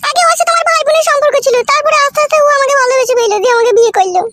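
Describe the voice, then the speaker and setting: A woman's voice: very high-pitched, animated, very fast, very noisy. A woman voicing a naive, plaintive young village wife in a comic cartoon. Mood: puzzled, candid.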